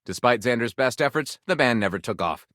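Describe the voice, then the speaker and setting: An actor playing a melodramatic, theatrical storyteller in a video game, narrating pulpy adventure tales with suspenseful pauses. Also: male voice, mid-range voice, animated, a bit bright, no room sound, clean studio sound, close to an everyday voice. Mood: dramatic, suspenseful. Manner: theatrical, overwrought, suspenseful delivery with dramatic pauses.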